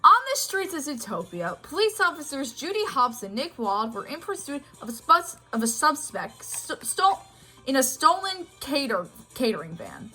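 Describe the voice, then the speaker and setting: A woman's voice: high-pitched, sing-song, moderate pace, pushed and bright, soft background music, low-quality recording. A woman narrating a children's storybook read-aloud, telling the tale expressively. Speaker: storybook reader.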